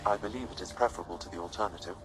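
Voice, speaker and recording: An actor playing a polite, dispassionate AI companion in a sci-fi game, measured, precise and formal. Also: male voice, low voice, natural voice, rough recording. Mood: calm, clinical. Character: calm, polite, dispassionate male artificial intelligence. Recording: music underneath.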